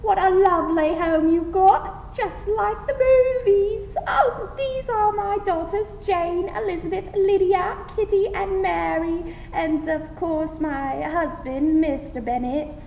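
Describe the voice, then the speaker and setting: A woman playing an effusive, excitable middle-aged mother in a theatrical 1920s radio drama parody. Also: very high-pitched, slow pace, vocal fry, few pauses, full character voice. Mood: gushing. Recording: muffled, reverberant, faint hiss.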